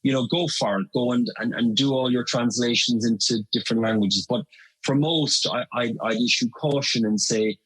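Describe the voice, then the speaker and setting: A man's voice: medium pitch, normal speed, a bit bright, slightly rough, poor audio. A middle-aged Irish man talking as a guest in an interview, chatty and full of fillers and restarts. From Ireland. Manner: conversational, many fillers and restarts. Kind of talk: interview conversation.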